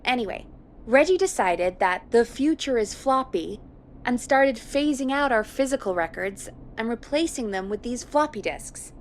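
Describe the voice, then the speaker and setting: Actress as a higher-pitched, practical woman radio producer. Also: animated, normal speed, a little hiss or hum, lightly acted. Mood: matter-of-fact, wry. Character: practical, frank woman radio producer in 1980s small town. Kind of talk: video game voice acting, conversational.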